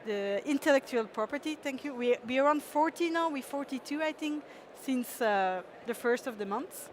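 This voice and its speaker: A woman presenting her company at a pitch event, hesitant, with frequent fillers and easy laughs. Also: lively intonation, moderate pace, slight background noise.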